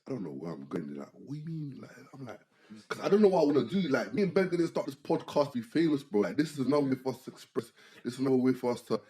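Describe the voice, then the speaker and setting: A man talks fast and animatedly as a podcast co-host, peppering his sentences with "bro" and "like". His voice sits low and sounds dark and mellow. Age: 20s. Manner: animated, repeats "bro" and "like", restarts a lot. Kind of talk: casual chat.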